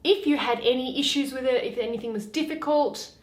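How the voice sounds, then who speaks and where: A woman with a fairly high voice speaks as a channel host. She addresses her listeners directly in a friendly, informal style. The recording is roomy, with clear echo. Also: a little fast. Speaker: channel host and play reader. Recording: roomy, echoey home recording.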